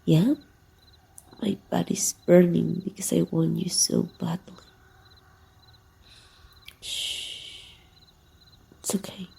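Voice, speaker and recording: A woman from Mexico voicing a teasing, tender female villain in an intimate ASMR roleplay, soft and breathy with some whispering. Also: fairly low pitch, level tone, slow pace, long pauses, exaggerated voice. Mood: seductive, intimate.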